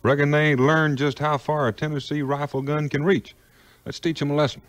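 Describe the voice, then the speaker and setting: A man acting a calm, folksy frontier hero with a Southern drawl in a 1950s record audio play. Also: on the high side, very noisy, natural voice. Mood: assured, wry. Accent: American Southern frontier drawl. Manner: unhurried, plainspoken, homespun.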